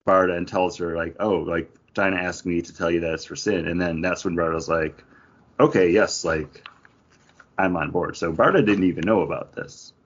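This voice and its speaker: A man with a fairly low voice chats as a podcast co-host. He talks in a casual, conversational way. The audio is somewhat dull and lo-fi. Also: moderate pace. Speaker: comic book podcast co-host. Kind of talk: casual book discussion.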